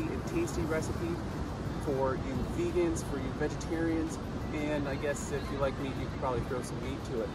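Energetic man outdoors on a beach. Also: on the high side, heavy background noise. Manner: enthusiastic, chatty. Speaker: outdoor cooking host. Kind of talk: upbeat cooking vlog. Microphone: camera a few feet away. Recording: distant mic, loud outdoor ambience.

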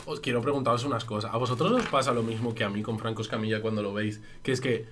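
A man's voice: mid-range voice, fast talker, a little hiss or hum. A young man with a Spanish accent chatting casually on a reaction stream. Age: young adult. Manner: lively, jokey. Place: streaming room.

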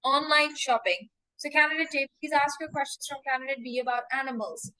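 High-voiced woman coaching a mock test. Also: steady tone, sharp tone, rough recording. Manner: slow, repetitive prompting with model answers. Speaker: English test examiner and coach.

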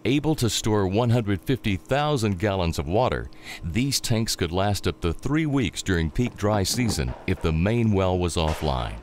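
A man's voice: on the low side, expressive, moderate pace, noisy background. A man reading scripted promotional documentary narration as a narrator.

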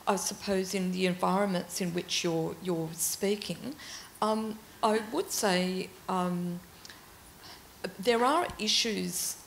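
An older woman on a panel in a large auditorium, answering thoughtfully with frequent pauses and ums into a handheld mic. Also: medium pitch, vocal fry, fairly bright tone, slight background noise, poor audio. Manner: thoughtful, halting with many ums. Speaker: panelist, library director.

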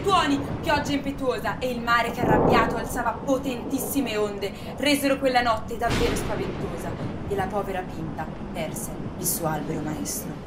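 A woman narrating a scripted children's story in an expressive, dramatic storytelling manner. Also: a little high, rough recording. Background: music.

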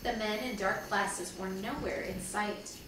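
A woman in her thirties, a teacher, reading a storybook aloud to children in an expressive way. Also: mid-range voice, a little slow. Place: living room at home, indoors. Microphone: far camera. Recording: distant mic, very echoey room, noisy.

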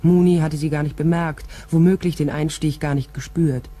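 A woman's voice: sits low, steady tone, moderate pace, mellow. An actress playing a tough, wry private detective who tells her case in controlled, vivid first-person crime drama narration. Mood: tense, matter-of-fact.

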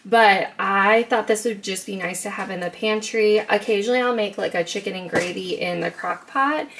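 A young woman talks through her grocery haul in a relaxed, chatty way, standing in her home kitchen. Her mid-pitched voice carries a fair bit of vocal fry, and a faint hum of noise sits behind it on the camera mic. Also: moderate pace. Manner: chatty, upbeat, talks in a sing-song baby voice to her son. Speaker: vlogger. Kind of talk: casual grocery haul vlog.

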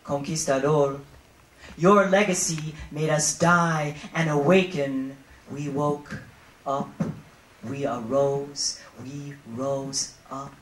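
A woman in her thirties performing spoken-word poetry in a declamatory, deliberate way with dramatic pauses, on a handheld microphone in a hall. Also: sits low, steady tone. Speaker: poet. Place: indoor hall, on stage. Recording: roomy live hall sound, music behind.

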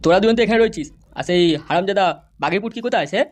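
Cartoon gangster politician, performed by a man: boastful, threatening, fast delivery in a raised register. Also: high voice, natural voice. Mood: scheming. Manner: menacing, swearing, boastful. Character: vengeful foul-mouthed male gangster politician, the big boss. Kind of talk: comedy cartoon dialogue.

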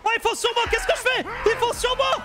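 A man streaming an improvised game roleplay, talking in an excitable, theatrical way. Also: extremely high pitch, fast, over music. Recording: noisy game sound behind voice.

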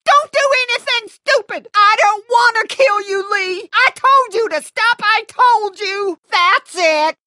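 A woman's voice: mid-range voice, very animated, measured pace, fairly bright tone, no echo. A woman playing a middle-aged Southern farm mother from a video game, with folksy, effusive hospitality and a controlling streak. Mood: panicked, threatening. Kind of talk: video game character acting.

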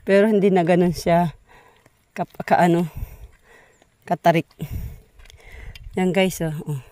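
A woman's voice: fairly low pitch, steady tone, slow pace, dark tone, no room sound. Woman vlogger, casual walking chat, outdoors, handheld phone sound, slightly winded. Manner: chatty, talking to viewers while hiking. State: a bit out of breath. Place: outdoors on a muddy dirt road in hills. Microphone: phone held while walking.

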